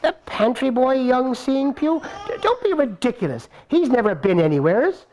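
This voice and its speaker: A man's voice: very high-pitched, very animated, moderate pace, darkish tone, slight background noise, voice pushed up. A man voicing a fussy old lady in a puppet show who brushes off a foolish suggestion. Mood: scoffing. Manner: dismissive, scoffing.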